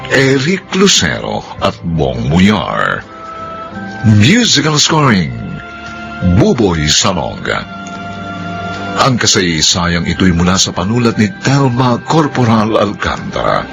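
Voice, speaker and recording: A man's voice: on the low side, creaky. A man reading solemn scripted narration and credits as the announcer of a radio drama, in a dramatic manner.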